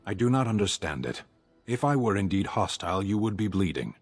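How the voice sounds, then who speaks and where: An actor playing a stern, blunt foreign warrior in a fantasy video game, speaking in terse, formal, deadpan phrases. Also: male voice, low voice, brisk, husky, heavily acted. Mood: cold, deadpan. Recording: music behind the voice. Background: game music.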